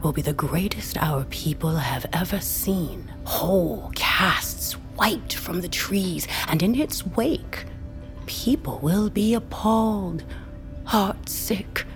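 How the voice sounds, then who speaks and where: Commanding secret order boss lady, slow low voice, measured n haughty, fantasy radio play. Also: soft background music. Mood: grave, zealous. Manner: measured, imperious, at times hushed. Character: commanding, imperious female leader of a secret priestly order. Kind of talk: fantasy audio drama acting.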